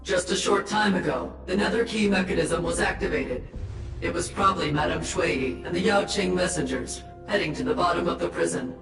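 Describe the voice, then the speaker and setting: A man's voice: sits high, fairly quick, creaky. A man playing a dutiful, earnest prison guard officer in a video game, giving a formal, urgent report. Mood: grave, urgent. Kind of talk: English video game voice acting. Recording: very echoey, music behind.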